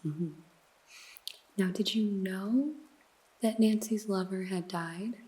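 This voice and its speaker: An actress playing a sly woman detective in a noir ASMR roleplay, questioning a suspect in a slow, teasing, mostly whispered voice. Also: mid-range voice, dark tone, natural voice, low-quality recording. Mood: teasing, probing.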